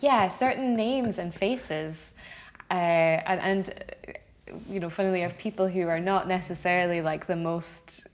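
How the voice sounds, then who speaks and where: Low-voiced woman co-hosting a podcast. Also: measured pace. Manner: conversational, prompting with "you know". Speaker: podcast co-host. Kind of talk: casual conversational podcast.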